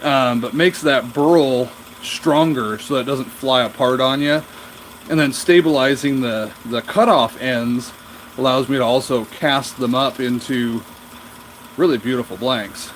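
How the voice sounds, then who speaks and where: A man's voice: medium pitch, measured pace, slight background noise. Nasal man chatting from his workshop. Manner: rambling and chatty, answers viewer comments. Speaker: woodturner streaming his work. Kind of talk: casual livestream chat. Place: cold home workshop.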